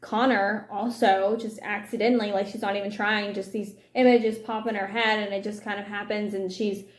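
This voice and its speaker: A woman's voice: medium pitch, flat delivery, moderate pace. A woman vlogger talking through a book's plot in a candid, rambling way, often restarting mid-sentence. The room around her rings with noticeable echo. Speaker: book vlogger. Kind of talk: casual commentary on a novel.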